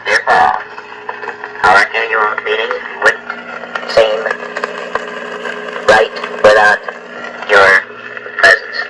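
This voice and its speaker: A man dictating formal business letters in a halting way, speaking punctuation aloud, on a noisy old dictation record. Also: on the low side, flat delivery.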